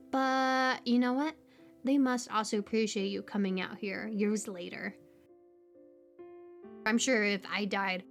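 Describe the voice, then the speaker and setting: A woman playing a bubbly, quirky young small-town local in an audio roleplay, rambling casually with playful, high energy and lots of filler words. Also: lightly acted. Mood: earnest, consoling.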